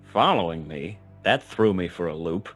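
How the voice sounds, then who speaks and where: A hard-boiled private detective tells his story in a radio play. His voice is low, wry and laconic in classic noir style. Music sits faintly underneath. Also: lively intonation, moderate pace, fairly bright tone, breathy edge, full character voice. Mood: guarded.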